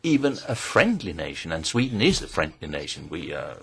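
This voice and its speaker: A man giving measured, formal testimony to a committee, pausing often and hesitating between phrases. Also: very deep voice, sing-song, creaky, a bit bright, slight background noise. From Norway. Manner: measured, deliberate, with frequent pauses and hesitations. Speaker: invited speaker at a parliamentary hearing.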